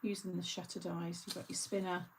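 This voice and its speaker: Woman, middle-aged, rambling craft host, lowish voice, some fry. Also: little pitch movement, fairly quick, a little hiss or hum, poor audio. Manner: chatty, laughs often, rambling asides. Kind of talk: casual live chat.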